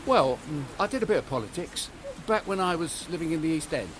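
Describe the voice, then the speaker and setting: An actor playing a courteous older husband, dry, polite and slightly hesitant, in an audio drama. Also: male voice, fairly high pitch, expressive, brisk, natural voice. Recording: noisy outdoor street ambience.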